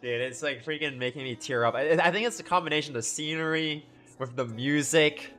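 A man's voice: a little high, fairly quick, bright, forceful tone. A young man reacting live to a game as a streamer, chatting casually in gushing, slangy talk. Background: faint game music.

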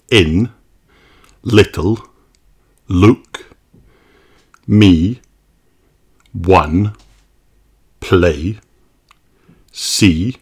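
A woman narrating a children's picture book, reading deliberately with carefully separated words for young learners. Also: rumbling low, breathy edge. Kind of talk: slow read-aloud storytelling for young learners.

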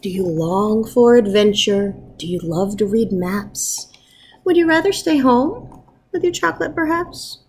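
A woman in her thirties, a first grade teacher, talking informally to young pupils and reading aloud in an encouraging, expressive way. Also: mid-range voice, a little slow, a little hiss or hum. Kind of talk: warm informal message to pupils and picture-book read-aloud. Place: wood-ceilinged room at home, indoors. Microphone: webcam at arm's length.

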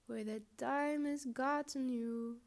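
Woman as a very slow, high-voiced wistful balloon. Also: steady tone. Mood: wistful, musing. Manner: halting, deliberate phrasing with long pauses. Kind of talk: slow poetic performance monologue.

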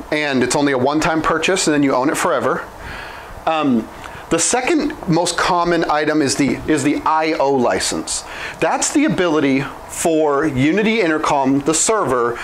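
A man in his thirties explaining software licenses at a whiteboard, talking quickly and informally with frequent restarts and self-corrections, on a lapel mic in a small room. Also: fairly high pitch, a little hiss or hum, poor audio. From Oklahoma. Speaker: product trainer.

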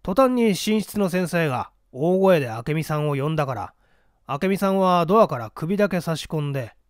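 A man's voice: fairly high pitch, lively intonation, normal speed, no room sound, clean studio sound, natural voice. A man voicing a blunt, hot-headed newspaper reporter giving his account curtly in a mystery reading. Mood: recounting, brusque. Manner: curt, defensive. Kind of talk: dramatic storybook reading of a mystery.

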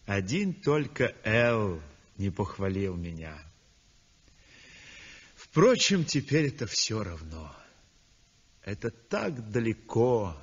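An actor playing a weak, convalescent young male painter who narrates his story in a 1960s radio drama, speaking softly and breathily. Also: mid-range voice, breathy edge, exaggerated voice. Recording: dull old radio recording with long reverb.

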